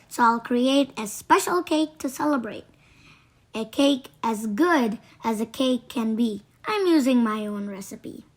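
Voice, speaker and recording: A woman reading a children's storybook aloud in a deliberate, expressive way. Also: on the high side. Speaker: children's book narrator. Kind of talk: read-aloud storytelling for kids.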